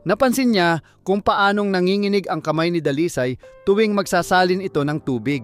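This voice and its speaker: Male audiobook narrator, slightly high pitch, dramatic scripted delivery, faint music underneath. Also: a little fast, clean tone.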